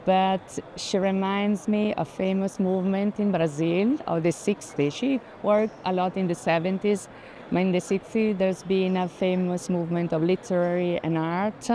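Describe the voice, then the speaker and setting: A woman with a slightly low voice talks through art in conversational, non-native English. She often stops to find the right word. Faint ambient noise of a busy space is behind her. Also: warm tone. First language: not English. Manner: halting, searches for words. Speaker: art collector, guest. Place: indoor art fair, on the move.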